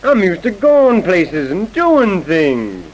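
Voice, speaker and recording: An actor playing a brash, pushy young farmhand, slangy and swaggering, in an old radio drama. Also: male voice, sits high, huge pitch swings, slow pace, lo-fi sound, a little hiss or hum, heavily acted. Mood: restless, wheedling.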